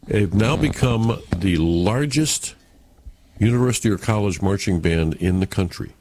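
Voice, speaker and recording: A man hosting a morning radio show, joking and a little halting as he talks. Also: on the low side, expressive, noisy background. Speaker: radio host.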